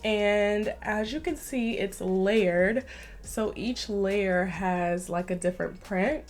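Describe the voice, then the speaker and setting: A woman's voice: mid-range voice, takes it slowly. A young woman with a stuffy nose from a slight cold, chatting enthusiastically to camera in a casual fashion vlog. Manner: chatty and enthusiastic, says "like" often. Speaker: fashion vlogger.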